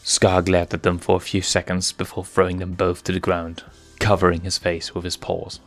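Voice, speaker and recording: A man's voice: low voice, completely flat delivery, normal speed, faint music behind. A man narrating a scripted story as a fan fiction reader.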